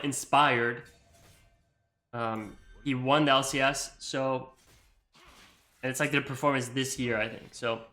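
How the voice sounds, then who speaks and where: A man's voice: mid-range voice, sharp tone. A young man from Iceland with an American accent, chatting casually over his gameplay in a rambling way full of fillers. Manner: rambling, full of likes and you-knows. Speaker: gamer and video creator.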